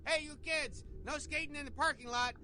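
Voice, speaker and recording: An actor playing a dim, rambling night security guard who talks eagerly and chuckles at himself. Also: male voice, very high-pitched, normal speed, sharp tone, slight background noise, exaggerated voice. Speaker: front desk security guard.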